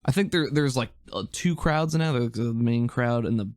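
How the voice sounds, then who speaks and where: A man's voice: medium pitch, moderate pace, a little mellow, no echo, studio quality. Laid-back man running a tabletop game. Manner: laid-back, filler-heavy narration. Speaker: game master.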